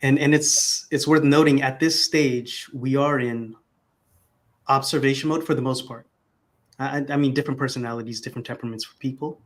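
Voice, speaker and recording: A man's voice: mid-range voice, steady tone, fairly quick. Reflective man talking on a podcast. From America. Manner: reflective, conversational, frequent restarts. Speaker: podcast guest. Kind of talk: casual podcast conversation.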